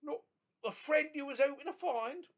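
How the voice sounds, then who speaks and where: A man voicing an excitable elderly porter, speaking in a high, gushing, breathless way. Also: moderate pace. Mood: joyful.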